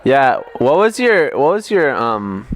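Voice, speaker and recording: A man's voice: mid-range voice, very animated, takes it slowly, soft background music. A man interviewing casually, with a joking, mock-serious manner.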